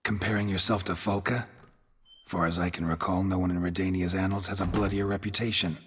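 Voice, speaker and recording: A man playing a gruff, deadpan monster hunter for hire in a fantasy video game, with a raspy voice. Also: deep voice, fairly quick. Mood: dry, skeptical. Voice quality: raspy, gravelly. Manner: deadpan, terse. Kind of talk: dramatic video game dialogue.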